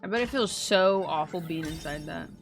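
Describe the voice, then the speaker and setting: A woman's voice: low voice, normal speed, vocal fry. A woman livestreaming a game in casual, slangy chat, thinking aloud and swearing freely as she reacts. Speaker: game streamer reacting to play. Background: game music.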